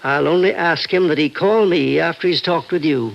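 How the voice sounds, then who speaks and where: A concerned doctor in a vintage radio play, fairly high-voiced with a gravelly creak. He reasons in an earnest, measured, halting way. The recording is dull and old. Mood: earnest, reassuring. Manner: earnest, hesitant, measured persuasion. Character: concerned, reasoning older male doctor and confidant. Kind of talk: old-time radio drama acting.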